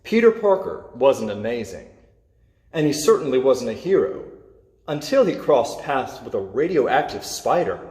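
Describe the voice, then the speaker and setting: A man reading a children's superhero story aloud, with expressive, dramatic emphasis. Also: medium pitch. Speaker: storybook reader. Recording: echoey, reverberant room.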